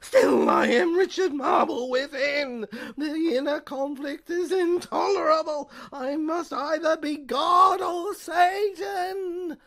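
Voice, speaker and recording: A woman's voice: high-pitched, slow pace, creaky, hardly any pauses, a bit bright, pitched above the performer's own voice, heavily acted. A woman playing a deranged, grandiose man torn between two identities, declaiming in anguish in a theatrical audio serial. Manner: melodramatic, declamatory.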